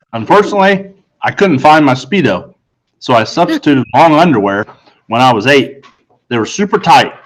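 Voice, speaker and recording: Male reader, mid-pitched, earnest, deliberate, first-person children's story narration. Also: poor audio. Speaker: audiobook reader.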